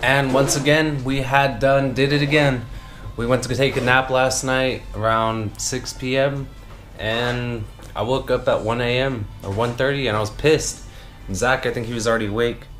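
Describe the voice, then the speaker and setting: A man's voice: mid-range voice, fairly even intonation, moderate pace, fairly bright tone, soft background music. A man in his twenties chatting casually to his vlog in a laid-back, slangy way, recorded on a handheld action camera. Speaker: vlogger for a vape brand team. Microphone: action camera at arm's length. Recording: noisy action-camera audio with ambient hum.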